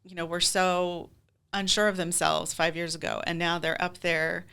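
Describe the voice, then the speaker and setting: A woman's voice: lowish voice, level tone, moderate pace, vocal fry, sharp tone, clean tone. A middle-aged woman answering interview questions as a community theater leader, speaking in an earnest, heartfelt, conversational way.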